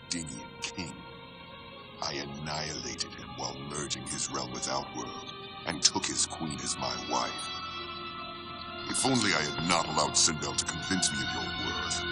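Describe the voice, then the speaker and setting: Deep, imperious tyrant emperor. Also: male voice, full character voice. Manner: imperious, commanding. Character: towering tyrant male emperor, contemptuous and wrathful. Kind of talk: video game cutscene acting. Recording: loud music behind the voice.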